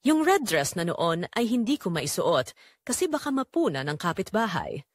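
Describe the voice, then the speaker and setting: A woman's voice: on the low side, sing-song, moderate pace, no room sound, clean studio sound. A woman with a Filipino accent narrating a scripted first-person drama in an emotive, confessional way.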